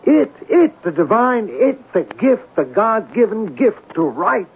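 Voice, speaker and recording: High-pitched, brooding, temperamental writer. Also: male voice, wildly swooping pitch, measured pace, vocal fry, a little hiss or hum, heavily acted. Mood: frustrated despair. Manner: theatrical, insistent, brooding. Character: intense, temperamental, self-tormenting male writer. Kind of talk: old-time radio drama acting. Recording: muffled vintage radio broadcast.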